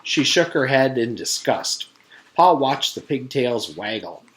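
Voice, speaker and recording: A man's voice: medium pitch, big pitch swings, a little slow, a bit bright. A man, a teacher, reading a storybook aloud.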